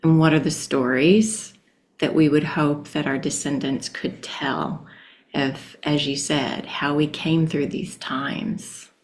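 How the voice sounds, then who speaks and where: Slow-speaking low-voiced middle-aged woman. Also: fairly even intonation. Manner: warm and hesitant, with fillers and soft laughs. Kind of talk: reflective conversation.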